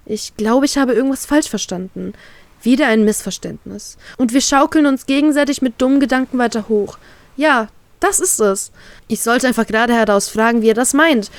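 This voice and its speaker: A woman's voice: mid-range voice, no room sound. A woman reading a first-person fan fiction story aloud, voicing a heartbroken inner monologue.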